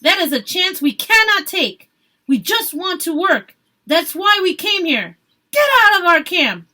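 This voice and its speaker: Storybook role: indignant male field worker, done by a woman, loud, forceful, standing his ground. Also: very high voice, very animated, unhurried, voice pushed up, exaggerated voice. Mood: angry, resolute. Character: defiant male migrant farm worker shouting back from crowd.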